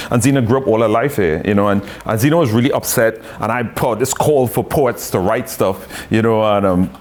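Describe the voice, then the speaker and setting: A poet from Trinidad with a Trinidadian accent, chatting loosely with many tag phrases and reading his own poetry. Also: medium pitch.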